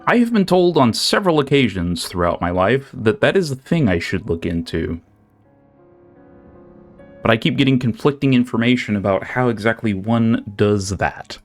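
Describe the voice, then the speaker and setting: A man's voice: medium pitch, sing-song, moderate pace. A young man streaming a game, chatting casually with lots of fillers and restarts. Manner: rambling, many fillers and restarts. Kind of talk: casual chat while playing. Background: faint game music.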